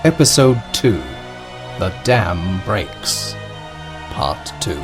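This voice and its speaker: A man's voice: sits low, very animated, very slow, over loud music, full character voice. A man announcing titles and settings for a sci-fi audio drama in solemn, scripted narration. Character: solemn male title announcer of a space saga.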